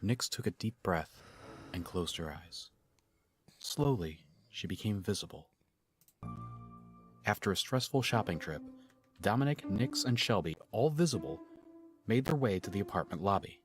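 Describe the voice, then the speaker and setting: A man narrating a fantasy audio drama as a measured, scripted storyteller. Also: fairly low pitch, animated, lightly acted. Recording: music bed under the voice. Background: loud musical score.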